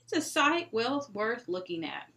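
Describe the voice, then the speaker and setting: A woman reading a children's novel aloud as an expressive storyteller. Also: fairly high pitch, a little slow, creaky, a little hiss or hum. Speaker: storybook reader.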